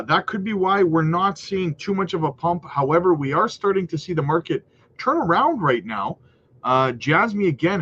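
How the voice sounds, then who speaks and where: A man's voice: a little high, fairly bright tone. Chatty, slightly nasal livestream guy. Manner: chatty and hyped, keeps calling viewers guys. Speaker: crypto market livestream host. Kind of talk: casual market commentary livestream. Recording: dull lo-fi audio with faint noise.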